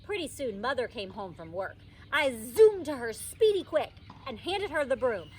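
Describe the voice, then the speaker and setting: A woman reading a children's story as a teacher would, in animated narration, recorded outdoors in a park. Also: high-pitched, normal speed, slight background noise.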